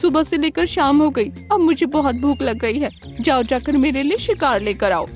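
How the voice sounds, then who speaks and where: A woman's voice: sits high, normal speed, soft background music, pitched above the performer's own voice, close to an everyday voice. A woman voicing a bossy, cunning female fox in a children's cartoon, with swooping, commanding delivery. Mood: hungry, demanding. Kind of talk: children's cartoon character acting.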